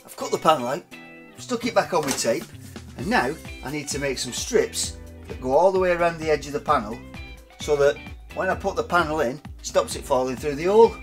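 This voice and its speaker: A man's voice: a little high, animated, a little fast, music in the background. Plain-spoken man explaining his build. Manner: plain, matter-of-fact step-by-step talk. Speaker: hobby boat builder. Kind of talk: casual DIY explanation. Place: garage workshop, indoors.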